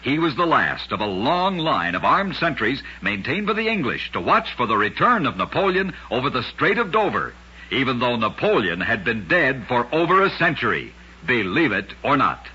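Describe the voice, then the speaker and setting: On a muffled old radio recording, a man narrates short scripted tales with a very forceful, bright edge and a distinctly rough, husky texture. He delivers them as an emphatic, dramatic storyteller. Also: mid-range voice, sing-song, normal speed. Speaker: narrator and host of a radio oddities program.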